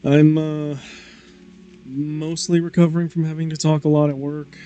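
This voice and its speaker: A man's voice: a little high, level tone, moderate pace, mellow, muffled recording, soft background music. A man streaming a model-kit build, chatting casually in a slightly nasal, tired voice with lots of fillers. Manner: laid-back, rambling with fillers. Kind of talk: casual livestream chat.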